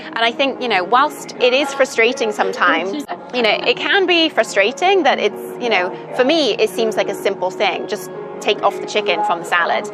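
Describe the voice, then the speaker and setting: A woman's voice: a little high, lively intonation, normal speed, few pauses. A young woman from Britain, chatting thoughtfully as an interview guest with many ums and you-knows. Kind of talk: casual interview chat. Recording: music playing underneath.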